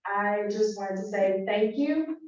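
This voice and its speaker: A young woman from Puerto Rico whose first language is Spanish, giving an earnest, halting thank-you speech full of ums as a scholarship recipient. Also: medium pitch, lively intonation. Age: young adult. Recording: echoey hall, distant and dull.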